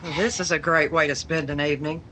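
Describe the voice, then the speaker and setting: A woman's voice: deep voice, steady tone, fast, natural voice. An actress playing a plainclothes policewoman with a dry, wisecracking delivery in a 1940s radio drama style. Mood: wry. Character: female plainclothes cop, dry and wry.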